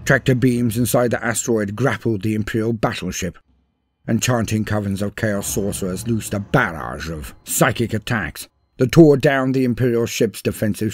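A man reading a scripted war story as a dramatic narrator, grave and theatrical, in measured phrases. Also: fairly low pitch, darkish tone, breathy edge. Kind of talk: dramatic scripted lore read-through. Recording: voice-over with music behind. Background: music.

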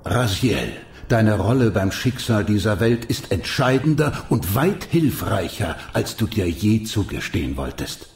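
An actor playing an ancient, noble and wise vampire mentor in a video game, speaking in a solemn, measured, sorrowful way. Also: male voice, fairly low pitch, sing-song, a little mellow, roomy sound. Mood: solemn, earnest. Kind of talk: dramatic scripted game dialogue.

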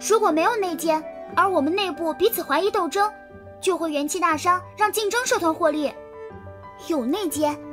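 A woman voicing a proud, clever schoolgirl who confidently explains things in a children's audio drama. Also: extremely high pitch. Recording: children's music underneath.

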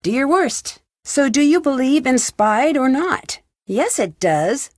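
Curious young girl detective in a video game, kinda high voice, super expressive, bit wry. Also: takes it slowly, no room sound, studio quality, full character voice. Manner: inquisitive, crisp, lightly wry. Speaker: video game lead character. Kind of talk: video game dialogue, separate questions and replies.

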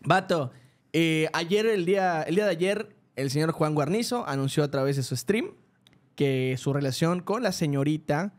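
A man's voice: on the high side, expressive, normal speed. A young man with a Mexican accent, hosting a podcast, slangy and teasing, with mock-formal news delivery. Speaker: podcast host. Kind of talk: casual comedic chat. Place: podcast studio.

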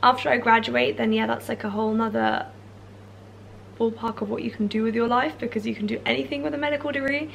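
A young English woman in her twenties, husky from a cold, chatting casually through viewer questions in a room at home. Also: fairly high pitch, steady tone, fast talker, slight background noise. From England. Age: 20s. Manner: chatty, many fillers like "like" and "um". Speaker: YouTuber answering viewer questions. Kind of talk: casual Q&A chat. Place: bedroom or living room, indoors. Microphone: camera in front, seated close.

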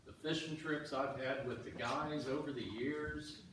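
Actor as a slow nostalgic outdoorsman, echoey. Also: mid-range voice, fairly even intonation, close to an everyday voice. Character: nostalgic outdoorsman reminiscing about old buddies. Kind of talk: amateur reader's theater monologue. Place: on stage in a church, far camera. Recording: very echoey hall, distant mic, noisy.

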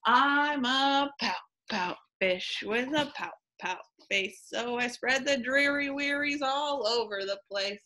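Gloomy pouting boy fish for a storybook, played by a woman; mournful, stretched-out rhyming lines, slow. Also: sits high, bright, forceful tone, full character voice. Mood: glum, resigned. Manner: mournful, drawn-out rhyming delivery.

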